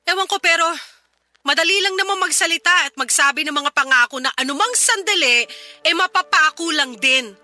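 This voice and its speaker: A woman's voice: extremely high pitch, brisk, bright, forceful tone, no echo, exaggerated voice. A woman voicing a wounded, sarcastic fiancée scolding her cheating man in a Filipino-accented radio drama. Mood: distrustful, bitter.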